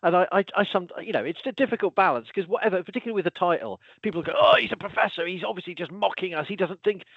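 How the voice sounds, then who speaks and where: Animated man, thin dry sound. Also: high voice, rapid-fire, creaky, few pauses, no room sound, dull audio. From lives in Wales. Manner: animated, self-interrupting, exasperated asides. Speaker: podcast host, archaeology professor. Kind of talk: casual conversation.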